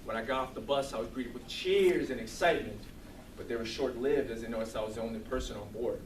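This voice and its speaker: A man giving a humorous storytelling speech to an audience in a theatrical, deliberate comic manner. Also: medium pitch. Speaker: speech contest contestant. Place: room with an audience. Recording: roomy, distant mic, clearly noisy.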